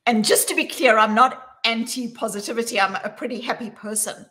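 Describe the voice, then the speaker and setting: A woman in her forties with a South African accent speaks as a guest over a video call from a small room. Her delivery is measured and emphatic, and she slows down to lean on key words. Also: a little high, bright, forceful tone. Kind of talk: talk on workplace wellbeing. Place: small room with plain wall.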